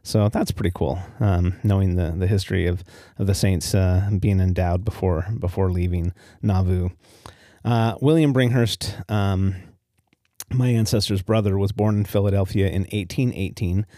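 A man's voice: deep voice, normal speed, warm tone, no room sound, clean studio sound. An American man hosting a podcast alone, telling stories in a casual, easygoing way and given to chuckling at his own remarks. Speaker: podcast host. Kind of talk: casual solo storytelling podcast.

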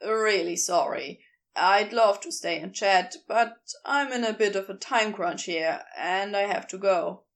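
A woman voicing an awkward, guarded man in an audiobook story, deadpan, curt and a little strained. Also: medium pitch, unhurried, voice pushed down, lightly acted. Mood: hurried, apologetic. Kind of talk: fan fiction audiobook character voice.

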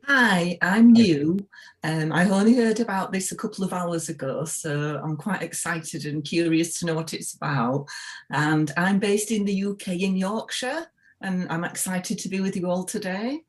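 Woman, lowish voice, eager newcomer on a call. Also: moderate pace. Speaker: participant. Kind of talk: brief self-introduction. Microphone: video call.

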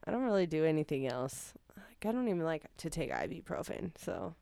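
A woman's voice: low voice, sing-song, vocal fry, warm tone, no room sound. A woman chatting as a guest on a radio show, enthusiastic and talkative. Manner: chatty, enthusiastic, quick laughs. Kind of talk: casual radio conversation.

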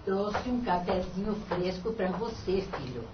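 An actress playing a gentle mother of a grown son, speaking tenderly in a radio drama. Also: medium pitch, lively intonation, a little hiss or hum, close to an everyday voice. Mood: tender, moved. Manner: tender, unhurried. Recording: dull, lo-fi radio recording.

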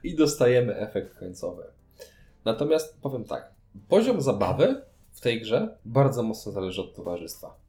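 Man, podcast co-host, casual review chat, expressive melody, roomy sound, faint background music. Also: medium pitch, moderate pace.

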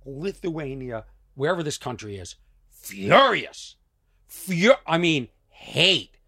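A man from Massachusetts hosting a podcast and telling comic stories about himself in a loose, animated way. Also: a little high, takes it slowly, vocal fry, slightly rough, dry recording, studio quality. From Lexington, Massachusetts. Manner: animated, self-mocking storyteller. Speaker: podcast host. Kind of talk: casual comedic chat.

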